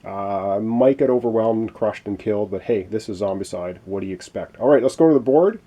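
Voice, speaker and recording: A man's voice: fairly low pitch, brisk, dark tone. Man explaining a board game, losing his voice. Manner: chatty and explanatory, with many "uh" fillers. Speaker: board game playthrough host. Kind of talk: casual tabletop game walkthrough.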